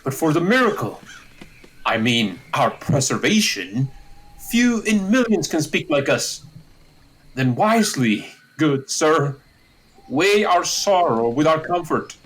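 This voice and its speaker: A man's voice: a little high, very animated, slow, a bit bright, full character voice, rough recording. A man playing a kindly, optimistic, long-winded old courtier in a Shakespearean audio drama, wordy and earnest. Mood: earnest cheer.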